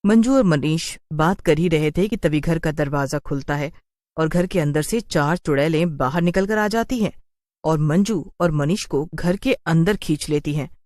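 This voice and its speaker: A woman's voice: low-pitched, moderate pace, darkish tone, dry recording, clean studio sound. A woman reading a scripted horror story as its narrator.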